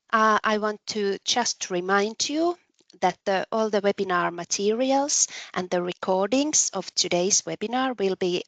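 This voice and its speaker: A woman hosting a webinar, introducing a guest speaker in a measured, carefully read way with occasional ums. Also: medium pitch, gravelly, fairly bright tone, no room sound, low-quality recording. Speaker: webinar host, moderator. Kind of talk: formal introduction.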